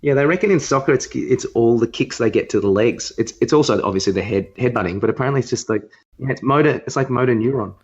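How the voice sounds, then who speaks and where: A man banters casually as a podcast co-host. His voice sits at an ordinary middle pitch, and the recording is a little dull and lo-fi. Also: fast talker.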